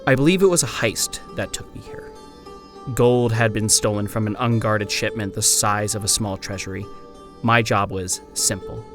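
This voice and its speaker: A man playing an amnesiac old-time detective, narrating a grim first-person account in a horror audio drama in a measured, solemn way. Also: on the low side. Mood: haunted, solemn. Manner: measured, solemn, literary delivery. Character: amnesiac former Pinkerton detective writing a grim final account.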